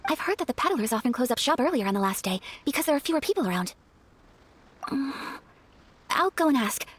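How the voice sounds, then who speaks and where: A woman playing a refined, earnest young noblewoman in a video game, speaking politely and formally in a gentle, hesitant way. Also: high-pitched, very animated, fairly quick, slightly rough, exaggerated voice. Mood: gentle, hopeful. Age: young adult. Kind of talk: video game character acting. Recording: faint music behind the voice.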